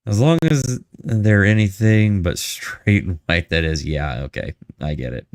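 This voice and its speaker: A man's voice: low-pitched, a little slow, dry recording, studio quality. A man hosting a live stream with casual, joking commentary and asides to his chat.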